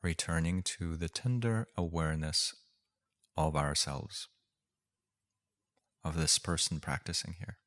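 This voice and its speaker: A man leading a guided meditation as a teacher, speaking gently and unhurriedly with long pauses. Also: extremely low pitch.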